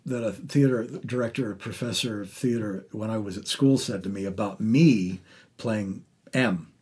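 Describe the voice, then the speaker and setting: A middle-aged man hosting an interview in a casual, effusive way, rambling and halting as he talks about the arts. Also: on the low side, a little mellow, husky. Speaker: podcast host interviewing an actor. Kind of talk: casual, enthusiastic arts conversation.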